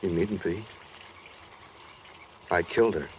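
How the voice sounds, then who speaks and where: An American man playing a brooding, coldly calm escaped killer in a 1940s radio suspense drama, speaking matter-of-factly with quiet menace. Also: deep voice, takes it slowly. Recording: dull 1940s radio recording with noise.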